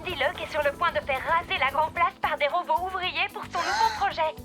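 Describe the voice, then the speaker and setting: A level-headed young cartoon teammate who organizes the group. Her voice sits high and steady. Music plays clearly behind her. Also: a little fast. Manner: calm, organizing. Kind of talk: French cartoon dubbing.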